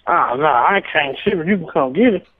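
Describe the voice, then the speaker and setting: A man's voice: a little high, lively intonation, normal speed, husky. A man in a casual phone conversation, answering as a seller in a terse, blunt, slangy way over a thin phone line. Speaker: car seller answering a call. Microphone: phone call. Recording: tinny narrow phone line.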